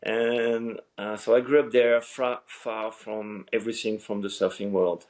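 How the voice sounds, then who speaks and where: A middle-aged man, a surf coach guest who grew up in Senegal, telling his story in halting English with many restarts. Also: lowish voice, flat delivery, a little mellow. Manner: halting, restarts words, speaks non-native English. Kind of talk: casual storytelling interview.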